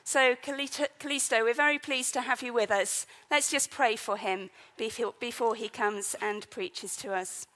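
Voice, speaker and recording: A woman's voice: fairly high pitch, normal speed, few pauses, a bit bright. A woman leading a church service, reading scripture, introducing the guest preacher, and praying in an earnest manner.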